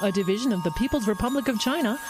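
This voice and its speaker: A woman's voice: fairly high pitch, lively intonation, very fast, over music, natural voice. A woman playing a storybook narrator and announcer in a parody of a children's cartoon special. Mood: grand announcing.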